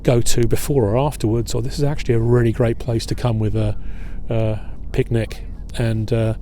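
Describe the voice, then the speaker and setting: A middle-aged man leading an outdoor history tour at a waterfront fort. He talks in a relaxed, halting way with many filler pauses. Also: medium pitch, a little hiss or hum. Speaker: historian and battlefield guide.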